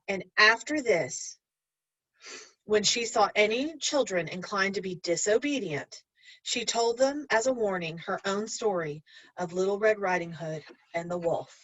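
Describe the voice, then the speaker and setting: A woman's voice: medium pitch, animated, a little slow, fairly bright tone, no room sound, rough recording. A woman reading a children's story aloud expressively, like a teacher addressing pupils.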